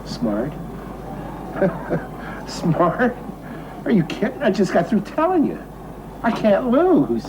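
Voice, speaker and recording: An actor playing a boastful, sentimental and tipsy American gambler in a television drama, rambling and repeating himself with emphasis. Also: male voice, high-pitched, huge pitch swings, takes it slowly, pauses often, heavily acted. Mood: giddy, insistent. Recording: noisy old television drama soundtrack.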